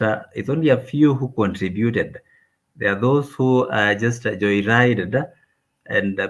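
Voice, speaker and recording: A man speaking as a guest in an interview, hesitant and deliberate, filling pauses with "uh". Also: on the low side. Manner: hesitant, many "uh" fillers, deliberate. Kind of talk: conversational interview.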